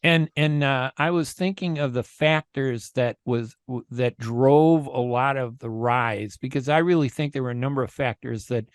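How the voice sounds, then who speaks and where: A man's voice: medium pitch, moderate pace, hardly any pauses, smooth, no echo. A man hosting a radio talk show, chatting casually and thinking aloud with plenty of fillers and opinions.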